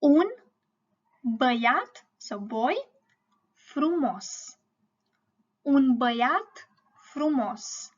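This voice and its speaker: A woman's voice: high-pitched, lively intonation, very slow, pauses often, dull audio. A woman teaching a language lesson, explaining didactically and often checking in with the learner.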